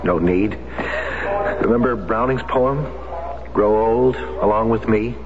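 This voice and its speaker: An actor playing a steady, forgiving husband in a 1970s radio drama, calm and reassuring. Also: male voice, lowish voice, slow pace, crowd noise. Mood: tender. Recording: dull lo-fi radio recording.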